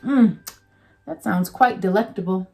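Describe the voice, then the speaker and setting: A woman's voice: mid-range voice, measured pace, vocal fry, warm tone, faint music behind. A woman voicing a cheerful, hungry hot dog vendor in a read-aloud picture book, eager and relishing. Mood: delighted.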